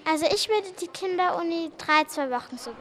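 A woman's voice: very high voice, expressive, a little fast, fairly bright tone. A young girl, answering questions casually as an interviewee. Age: child, about 8 to 12.

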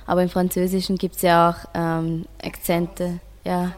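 Teen girl, voice a bit low, hesitant, explaining stuff on a school radio show. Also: completely flat delivery, unhurried, darkish tone. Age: teenager. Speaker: school student in a radio project. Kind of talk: informal explaining with a friend.

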